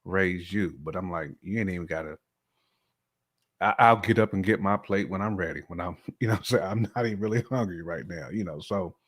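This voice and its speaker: A man's voice: sits low, a little fast. A man talking casually as a podcast guest, in a colloquial, slangy way with frequent check-in phrases. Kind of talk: casual chat, telling personal views.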